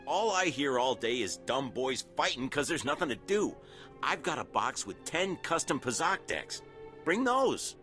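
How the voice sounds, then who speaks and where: A man's voice: medium pitch, big pitch swings, unhurried, pushed and bright, faint music behind. A man playing a pushy, bored young refugee in a video game. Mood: eager, irritated.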